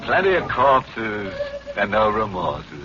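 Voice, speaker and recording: An actor playing a gleefully macabre, droll and theatrical horror host on 1940s radio. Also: male voice, fairly low pitch, sing-song, slow pace. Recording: dull, noisy old radio recording.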